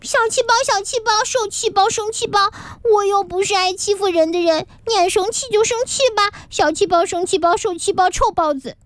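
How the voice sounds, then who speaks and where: A woman's voice: extremely high pitch, a little fast, few pauses, no echo, pitched above the performer's own voice. A woman voicing a pouty, teasing young child who turns whiny and squealing, in a children's story. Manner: whiny, squealing when upset.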